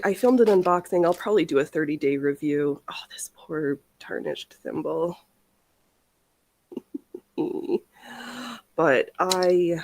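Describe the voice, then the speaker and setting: A woman's voice: on the low side, darkish tone, slight background noise, low-quality recording. A woman hosting a casual crafting livestream, chatting in a rambling, easily sidetracked way. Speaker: livestream host of a sewing and crafting show.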